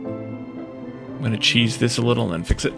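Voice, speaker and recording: A man hosts a casual let's play with a noticeably gravelly, fry-laden voice. He thinks aloud as he goes, halting and hesitant between decisions. Music plays clearly behind his commentary. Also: medium pitch. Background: music in the background.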